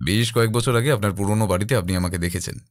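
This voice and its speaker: A man playing a composed, earnest detective in an audio drama, speaking in a measured, serious way. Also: fairly low pitch, no room sound, clean studio sound, close to an everyday voice. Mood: firm, formal. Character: composed, earnest male detective, a seeker of truth. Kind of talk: audio drama character acting.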